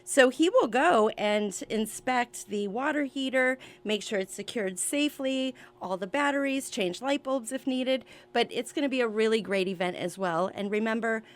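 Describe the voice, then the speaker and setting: A woman's voice: on the high side, moderate pace, few pauses. A woman speaking as a guest on a radio show, giving information about events in conversational interview talk. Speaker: radio show guest, senior seminar organizer.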